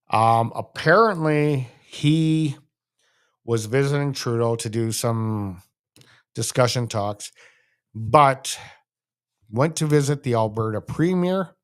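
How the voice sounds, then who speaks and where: Male sports host, Canadian accent, medium pitch. Casual solo commentary with emphatic, repeated phrasing.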